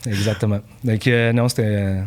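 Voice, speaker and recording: A man in his forties from Portugal talks easily as a podcast guest, stopping often on fillers and restarting his sentences. His voice sits fairly low for a man. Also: normal speed. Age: 40s. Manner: relaxed, many fillers and restarts. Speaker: guest, company founder. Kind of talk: casual podcast interview.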